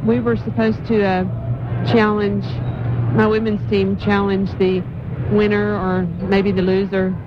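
A woman's voice: medium pitch, level tone, measured pace. Woman in her forties giving short replies. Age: 40s. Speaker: interviewee, amateur hockey player. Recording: dull lo-fi sound with crowd noise.

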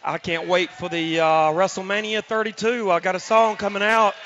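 Hoarse male guest, high and bright, halting casual talk on a dull, lo-fi line. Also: fairly even intonation, a little hiss or hum. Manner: halting, with many fillers. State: hoarse from lost voice. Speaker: studio guest. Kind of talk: casual talk show chat. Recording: dull lo-fi sound.

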